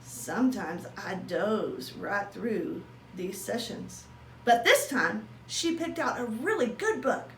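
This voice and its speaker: A woman reading a children's story aloud in an animated way, drawing out words for dramatic emphasis. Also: medium pitch, slow pace. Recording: roomy echo with faint noise.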